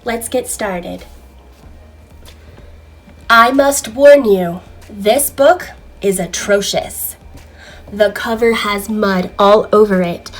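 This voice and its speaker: Unhurried woman reading a children's story. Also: a little high. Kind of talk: expressive read-aloud storytelling for children.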